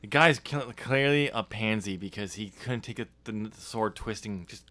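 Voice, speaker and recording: A man's voice: fairly low pitch, moderate pace, sharp tone. A man hosting a podcast, casually talking through his own writing in a hesitant, explanatory way. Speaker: podcast host and author. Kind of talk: casual discussion of his novel draft.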